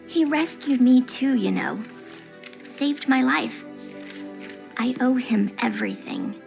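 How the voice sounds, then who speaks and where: A woman playing an earnest, gentle young genius girl in a video game, speaking softly and sincerely. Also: high voice, lively intonation, takes it slowly, gravelly, full character voice. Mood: earnest, admiring. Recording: music playing behind.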